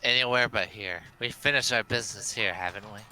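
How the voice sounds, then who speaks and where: A man's voice: lowish voice, a little fast, rough recording. A man voicing a haughty, dismissive young rich student in an amateur dub of a visual novel game, with a condescending, commanding manner. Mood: cool, businesslike. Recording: loud music behind the voice.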